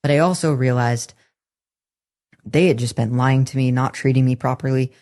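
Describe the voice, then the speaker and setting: A woman in her twenties speaks with a low, somewhat masculine-leaning voice. As a podcast guest, she tells her story earnestly and candidly. She often stops and restarts her sentences. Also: normal speed, a little mellow. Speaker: interview guest. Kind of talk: personal testimony in a podcast interview.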